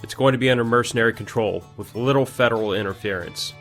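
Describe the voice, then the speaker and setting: A man's voice: mid-range voice, quick pace, fairly bright tone, soft background music, natural voice. A man playing a principled army major in an audio drama, direct, earnest and businesslike. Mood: earnest, resolute. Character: firm, principled army major leading his men.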